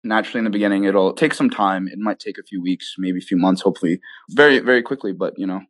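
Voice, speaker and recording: A man with a fairly low voice talks casually as an interview guest. He sounds earnest and informal, explaining things conversationally and often dropping in "you know.". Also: fast talker. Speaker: guest, app developer.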